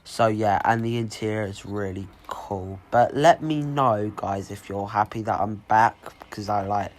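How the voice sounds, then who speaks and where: This is a teenage boy narrating his own gameplay from home. He sounds croaky from a cold and sits a little low in pitch. He wanders from thought to thought, full of ums and restarts. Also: normal speed. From United Kingdom. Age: teens. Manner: rambling, lots of ums and restarts. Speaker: gaming YouTuber. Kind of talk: casual gameplay voice-over.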